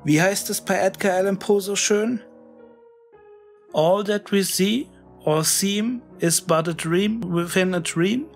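Slow, brooding male horror narrator. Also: high-pitched, creaky. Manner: deliberate, brooding, dramatic pauses. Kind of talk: scripted first-person creepypasta narration, musing monologue. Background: music.

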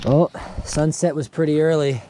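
A man's voice: a little high, fairly even intonation, moderate pace, over loud music. A young man vlogging casually while he cooks outdoors beside his truck, upbeat and chatty, laughing often and talking to his viewers, recorded on the camera mic. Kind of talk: casual vlog while cooking. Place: outdoors in a snowy parking lot by a pickup truck. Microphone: camera mic, handheld and head-mounted point of view. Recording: noisy outdoor camera audio.

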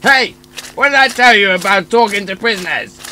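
An actor puts on a bossy duck guard, barking out orders in a forceful voice. The guard is gruff and stern, in radio drama style with faint noise behind. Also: medium pitch, moderate pace, natural voice. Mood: angry.

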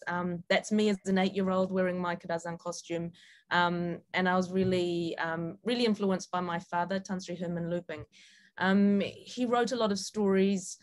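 Lowish-voiced woman with a Kiwi accent. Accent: New Zealand. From New Zealand and Sabah, Malaysia. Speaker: guest speaker, film director. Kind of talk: informal presentation.

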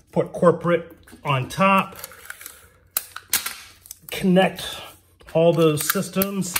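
A man giving a plain, steady whiteboard-style explanation while drawing on sticky notes at a desk. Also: a little high, a little hiss or hum.

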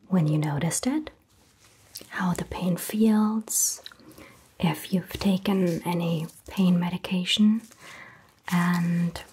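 A young woman whispers slowly and softly as a doctor in an ASMR roleplay, her voice sitting a little low. She sounds gentle and reassuring, close to the microphone, with a faint hiss underneath. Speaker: ASMR creator playing a doctor. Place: indoor set styled as a small doctor's office.

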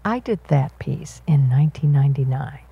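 A woman voicing a signed panel talk as an interpreter, in measured, halting phrases at an auditorium panel. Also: a bass voice, lively intonation, vocal fry, slightly rough, highs cut off.

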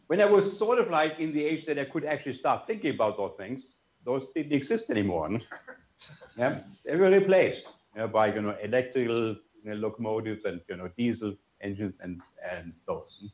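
Older German-accented man telling stories. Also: medium pitch. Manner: conversational, frequent "you know" and "yeah" tags. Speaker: lecturer. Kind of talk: memorial lecture with personal storytelling. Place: conference room with audience. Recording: roomy, slightly dull lecture room sound.

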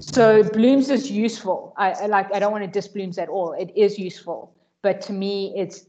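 Woman presenter on a dull video call. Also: mid-range voice. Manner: thoughtful, restarts often. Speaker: seminar presenter. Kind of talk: academic Q&A answer.

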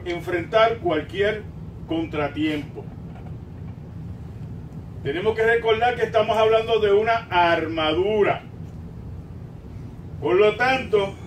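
High-voiced older Puerto Rican preacher man. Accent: Puerto Rican Spanish. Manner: emphatic preaching, swinging from drawn-out emphasis to quiet asides. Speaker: pastor. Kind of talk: sermon. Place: small church sanctuary, indoors at a pulpit. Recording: roomy, distant mic, rumbling background noise.

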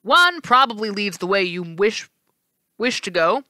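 A woman's voice: mid-range voice, animated, fairly quick, pushed and bright, no room sound, studio quality. A woman reading aloud, voicing a two-faced male god of doorways who mocks and bickers with himself. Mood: taunting. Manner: teasing, sneering, squabbling with himself.

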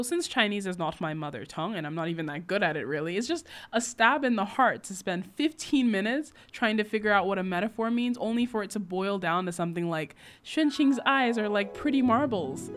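A young woman, a book recap vlogger, narrating casually with wry, sarcastic asides. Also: medium pitch.

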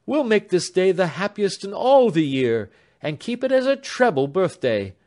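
An earnest young man speaks with fervent tenderness, his voice raised and singing up and down, as a man reads him aloud in a storybook. Each phrase rises high and emotional. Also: very animated, moderate pace, a little mellow.

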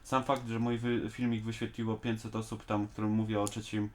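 Low-voiced man chatting casually. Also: little pitch movement, brisk, darkish tone. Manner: colloquial, mildly slangy. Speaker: host of a fan discussion show. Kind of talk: casual chat reviewing an animated series.

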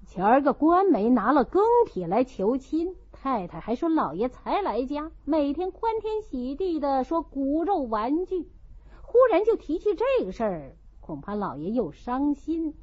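A grumbling young gentleman of a great house , played by a woman narrator in a storybook. He complains offhandedly with lively swings of pitch. The audio is a little dull. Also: normal speed. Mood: musing, offhand. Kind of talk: audiobook character reading of a classical novel.

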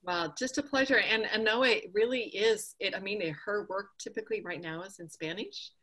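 A woman's voice: medium pitch, fairly quick, bright, forceful tone. Gushing woman hosting an online summit. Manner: effusive, enthusiastic introductions. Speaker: host.

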